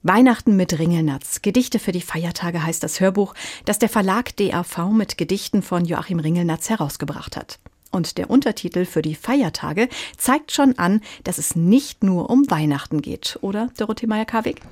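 A woman's voice: lowish voice, animated, normal speed, darkish tone, dry recording. Woman radio host, dry studio sound. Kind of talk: scripted radio presentation and interview questions.

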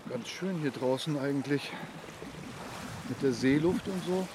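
A man's voice: mid-range voice, a little slow, noisy background, natural voice. An actor playing a cautious, anxious foster father in a children's radio play, hesitant and doubtful. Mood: relaxed, pondering. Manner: hesitant, doubtful, often humming in thought.

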